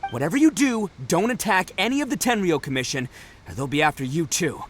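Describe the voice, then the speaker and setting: An actor voicing a cocky, boisterous young oni gang boss in a video game, slangy and swaggering. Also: male voice, high-pitched, lively intonation, a little fast, slight background noise. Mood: urgent.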